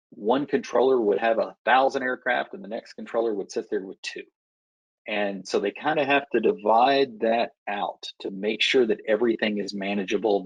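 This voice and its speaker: American guy, low kinda husky voice, explaining stuff step by step, really dry close sound. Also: no echo, dull audio. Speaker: air traffic controller answering questions. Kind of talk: explanatory Q&A talk to pilots.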